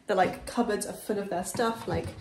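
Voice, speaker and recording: A young woman talks casually into a phone held at arm's length, candid and chatty as she moves through an empty house and out onto a street. Her voice sits mid-pitched for a woman, and clear noise runs under the phone audio. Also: normal speed. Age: young adult. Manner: chatty, candid, talks while walking around. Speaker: vlogger. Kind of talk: casual vlog narration. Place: empty house indoors, then outdoors on a street.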